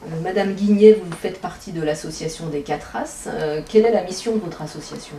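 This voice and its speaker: A woman's voice: fairly low pitch, brisk, slight background noise. A woman asking prepared, formal interview questions. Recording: loud crowd chatter behind.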